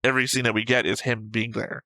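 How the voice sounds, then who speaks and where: A man's voice: mid-range voice, little pitch movement, fast talker, sharp tone, dry recording. A man co-hosting a reaction show, casually analysing and arguing his points in a matter-of-fact way. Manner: argumentative, matter-of-fact, picking apart points. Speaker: co-host of an anime reaction show. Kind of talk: casual analytical debate, discussion.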